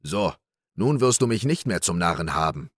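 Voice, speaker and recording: A man voicing a big, hot-tempered, greedy peasant in a storybook reading, gruff and threatening. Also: low-pitched, very animated, moderate pace, dry recording, clean studio sound. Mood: smug.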